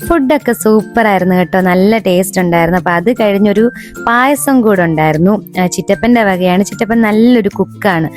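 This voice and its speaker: A woman's voice: medium pitch, a little fast, a little mellow. A woman with a Kerala Malayali accent giving a chatty, casual vlog narration in a conversational way. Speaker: family vlogger. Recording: background music under voice. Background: music.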